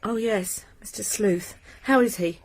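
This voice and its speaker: An actress playing an anxious, sharp-tongued landlady with a brisk, scolding manner. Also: medium pitch.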